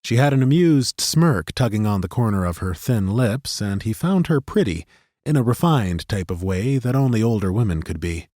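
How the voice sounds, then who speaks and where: Steady, low-voiced male audiobook narrator. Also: dry recording, clean studio sound. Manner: steady, measured storytelling. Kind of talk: scripted fantasy narration. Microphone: studio microphone.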